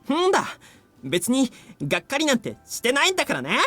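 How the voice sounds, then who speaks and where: A woman's voice: high voice, huge pitch swings, racing, a bit bright, faint music behind, full character voice. A woman playing a brash, playful teenage school friend in an anime-style game, blunt and teasing. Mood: teasing, casual. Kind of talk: anime-style visual novel acting.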